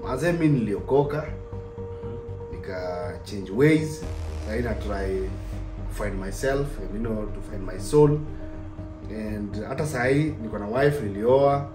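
A man's voice: fairly low pitch, measured pace, natural voice. A man playing a defensive boyfriend in a comedy skit, speaking with a Kenyan accent. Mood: sober, pious. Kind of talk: scripted comedy skit dialogue, mixing Swahili and English. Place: living room indoors, sofa. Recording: roomy, distant pickup with music.

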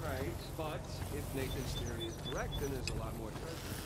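An actor playing a scrappy, restless American adventurer in a video game, casual and blunt. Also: male voice, mid-range voice, brisk, lightly acted. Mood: uneasy. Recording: loud vehicle and ambient noise behind.

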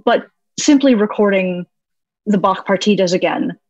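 A woman's voice: medium pitch, normal speed, a little mellow, no room sound. A woman with a mid-range voice hosts a podcast, thoughtfully summing up and building on what her guest said. Her recording is dry and a little muffled. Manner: engaged, thoughtful summarizing. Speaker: podcast host. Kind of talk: interview podcast.

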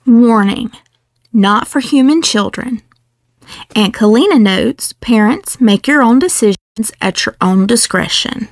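A woman reading a picture book aloud in a measured, expressive storytelling delivery. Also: fairly high pitch, no echo, clean studio sound. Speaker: children's book reader. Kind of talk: scripted storybook read-aloud.

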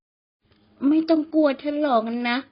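A woman puts on a female tree spirit from a spoken ghost story, high-pitched, shrill and cold, drawing her words out slowly as she teases. The sound is dull and lo-fi. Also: steady tone. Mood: mocking. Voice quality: shrill yet icy cold voice. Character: eerie young female tree spirit, teasing and lonely.

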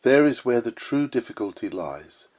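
A man reading a Victorian sermon aloud in an earnest, emphatic manner, with measured phrasing. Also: medium pitch, big pitch swings, darkish tone. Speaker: sermon reader. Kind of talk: read-aloud Victorian sermon, scripted narration.

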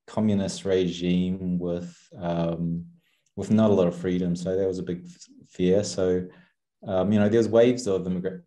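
A man's voice: deep voice, dark tone. A man with a New Zealand accent, a guest in a casual video-call interview, talking hesitantly with many ums and restarts through a headset mic. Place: small room with chalkboard, indoors.

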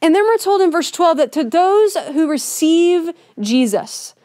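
A woman pastor giving a Christmas sermon in a church, conversational and earnest, often softening her points with "kind of.". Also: extremely high pitch, very animated, a little slow, dry recording, clean studio sound. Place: church sanctuary with a Christmas tree.

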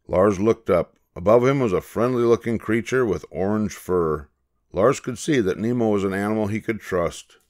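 Older man, deep voice, children's storybook narration, measured, expressive. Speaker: storybook reader. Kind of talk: scripted narration of a children's picture book.

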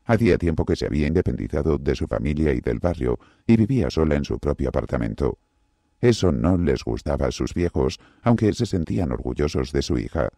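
A man narrating a novel as an audiobook, with an expressive delivery. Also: brisk, no echo, studio quality. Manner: very deep, gravelly and expressive. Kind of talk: scripted narration.

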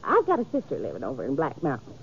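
A woman playing a meddling, sheepish older American small-town matchmaker in a 1950s radio western, halting and folksy. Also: a bass voice, huge pitch swings, gravelly, slight background noise, full character voice. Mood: sheepish confessing. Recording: narrow-band old radio recording.

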